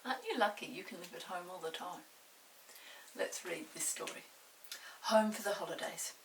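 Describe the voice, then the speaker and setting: A woman's voice: lowish voice, moderate pace. An older woman, a grandmother hosting storytime, reading to children with simple explanations and chatty questions. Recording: hissy, distant room microphone.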